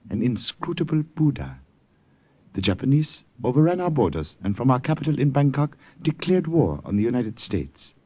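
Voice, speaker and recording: An actor in an old radio drama playing a courtly, enigmatic Siamese regent, speaking in measured, formal, eloquent phrases. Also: male voice, medium pitch, dark tone, breathy edge. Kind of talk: 1940s-50s radio drama acting. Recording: dull, lo-fi old radio recording.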